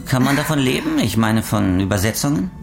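An actor playing a coldly menacing criminal interrogator in a crime radio drama, mock-courteous, taunting and deliberate. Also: male voice, mid-range voice, very animated, vocal fry, faint music behind. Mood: mock-polite, probing.

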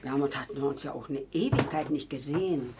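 A woman's voice: rumbling low, fast talker, heavy background noise. An actress playing a frail, flustered old grandmother who fusses and repeats herself in a naturalistic radio drama. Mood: chatty, plaintive. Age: older. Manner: fussy, muttering, repeating herself. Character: frail, flustered old granny baking drug cookies.

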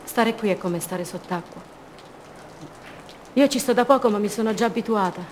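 A woman's voice: mid-range voice, normal speed, a little hiss or hum, natural voice. An actress playing a fragile, haunted captive woman in a radio drama, speaking in short fragments and childlike repetitions. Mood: withdrawn, pained. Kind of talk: theatrical monologue, radio drama.

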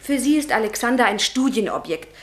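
An actress playing a passionate, defiant young student in a radio play, fervent, emphatic and teasing. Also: female voice, a little high, animated, a little fast, fairly bright tone. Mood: rapturous. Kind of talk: radio drama acting.

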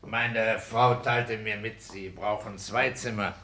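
An actor playing a boastful, bossy petty-bourgeois husband in a German radio play, with a blustering, commanding manner. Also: male voice, fairly low pitch, fairly even intonation, slow, bright, forceful tone, exaggerated voice. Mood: pompous, formal. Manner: blustering, commanding, self-satisfied. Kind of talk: 1960s German radio drama acting. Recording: hissy 1960s radio play recording.

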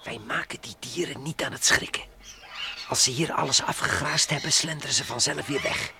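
An actor playing a bossy, admonishing space adventurer who lectures and grumbles in a children's radio play. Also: male voice, mid-range voice, moderate pace, noisy background, natural voice. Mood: cautioning. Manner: didactic, admonishing.

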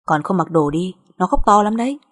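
A woman's voice: fairly low pitch, expressive, fairly quick, husky. A woman voicing a cool, impatient husband who speaks bluntly and tersely in an audio drama. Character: cool, brusque, impatient husband, a grown man.

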